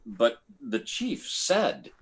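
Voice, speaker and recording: A man acting a measured, clinical military doctor in a 1950s-style radio drama. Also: mid-range voice, wildly swooping pitch, heavily acted. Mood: rueful, musing.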